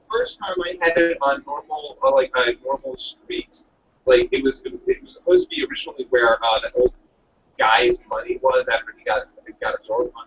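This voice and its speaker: A man's voice: medium pitch, fairly bright tone. A man, a director and editor, chatting over a call in an eager, rambling way. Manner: eager, rambling, self-correcting. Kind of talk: casual chat over a call. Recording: distant lo-fi call audio with faint hiss.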